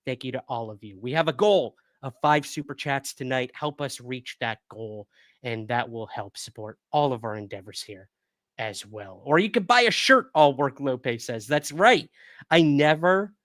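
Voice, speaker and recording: A man hosting a podcast livestream, chatting casually and upbeat with viewers, with a calming voice, recorded on a new studio microphone. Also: medium pitch, moderate pace, no echo. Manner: upbeat, thanks viewers and reads chat aloud.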